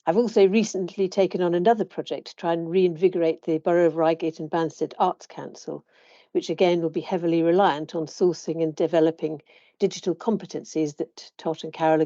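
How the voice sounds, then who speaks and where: A woman's voice: low-pitched, steady tone, a little fast, dark tone, dry recording, dull audio. A woman guest introducing herself on a webinar in a composed, formal way. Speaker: guest, choral society chair. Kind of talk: prepared self-introduction.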